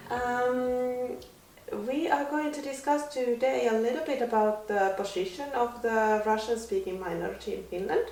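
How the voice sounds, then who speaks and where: A woman leads an interview with soft, rambling questions full of fillers. Her voice is distant from the mic and rings in an echoey room. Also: on the high side, fairly even intonation, moderate pace. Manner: gentle, filler-heavy questioning.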